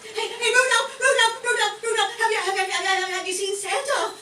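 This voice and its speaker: Woman as a squeaky, flustered, stammering elf. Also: level tone, a little fast, bright, forceful tone, soft background music. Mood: flustered, excited. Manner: flustered, repeats words. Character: excitable, stammering little elf helper. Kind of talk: amateur comic radio play acting. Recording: echoey room, distant mic, faint noise.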